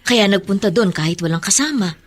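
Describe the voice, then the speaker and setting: An actress playing a concerned, sympathetic family member in a Filipino radio drama. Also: female voice, medium pitch, lively intonation, a little fast, a little hiss or hum, lightly acted.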